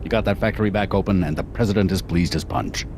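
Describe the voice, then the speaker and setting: Gruffly official game boss, very low pitch, glib, folksy, dismissive, noisy background. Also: male voice, fast talker. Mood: breezy praise. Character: brusque, glib male government agency boss. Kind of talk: animated video game cutscene acting.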